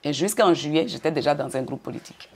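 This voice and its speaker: An older woman with a notably low voice, originally from Côte d'Ivoire, answers questions in an interview. She speaks in a measured way and often doubles her words as she gathers her thoughts. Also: gravelly, a little mellow, slightly rough. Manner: measured, repeats words, brief laughs. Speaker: interview guest.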